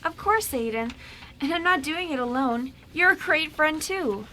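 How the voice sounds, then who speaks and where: A woman playing a sweet, supportive young best friend in an amateur radio drama, gentle and encouraging. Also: sits high, animated, normal speed, a little hiss or hum.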